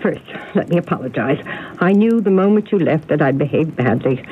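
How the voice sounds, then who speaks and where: An actress playing a genteel, agitated older spinster telling family secrets in a radio mystery drama. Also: female voice, low-pitched, expressive, a little fast. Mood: flustered, apologetic. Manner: fretful, genteel, dramatic storytelling. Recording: narrow, noisy old radio broadcast recording.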